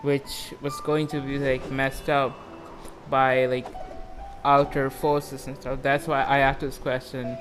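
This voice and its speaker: A man doing casual, rambling live reaction commentary on a game, excitable and prone to swearing and saying "like". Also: mid-range voice, takes it slowly, over music.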